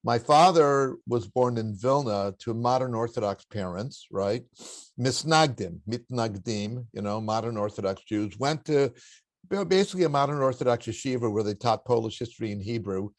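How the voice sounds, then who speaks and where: An older man with a baritone voice, a guest in an interview, telling family stories in a reflective, anecdotal way with occasional chuckles. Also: normal speed, dry recording. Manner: warm anecdotal storytelling with chuckles. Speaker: interview guest, spiritual teacher.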